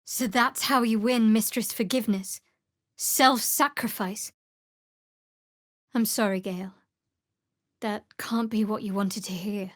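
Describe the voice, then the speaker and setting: An actress playing a guarded, devout young woman cleric of a dark goddess in a fantasy video game, with a measured, wry delivery. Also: mid-range voice, steady tone, no echo, clean studio sound, lightly acted. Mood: reflective.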